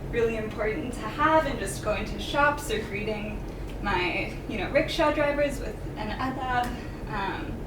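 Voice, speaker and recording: A woman's voice: fairly high pitch, measured pace. A young adult woman, a graduate student giving an informal academic presentation, projecting her voice to a room. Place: indoor presentation room, speaking from the front. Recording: echoey room, distant mic, noisy.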